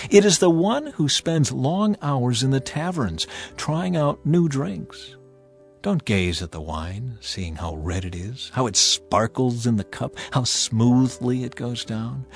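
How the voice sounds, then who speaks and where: Expressive man reading scripture over music. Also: medium pitch, breathy edge. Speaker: scripture narrator. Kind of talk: dramatized audio Bible reading. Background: background music.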